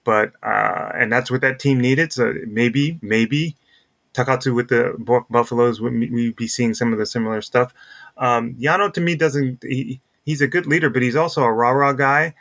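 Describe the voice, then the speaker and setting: Male sports podcast co-host, medium pitch, casual, thinks out loud. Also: steady tone, moderate pace, vocal fry. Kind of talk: casual baseball discussion.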